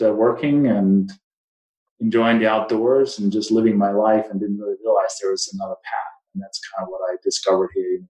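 A man from Denmark with a Danish accent, a guest talking casually in an interview, rambling with many restarts. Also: lowish voice. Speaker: guest investor. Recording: echoey room.

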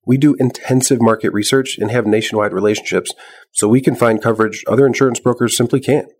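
A man's voice: mid-range voice, steady tone, fast talker, darkish tone, breathy edge. A man reading a scripted radio-style ad. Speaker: ad announcer. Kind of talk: scripted ad read. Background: faint music.

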